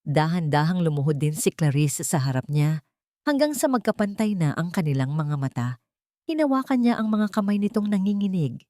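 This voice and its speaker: Low, animated Filipino woman storyteller. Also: normal speed, dry recording, clean studio sound. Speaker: audiobook narrator. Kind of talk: scripted dramatic story narration.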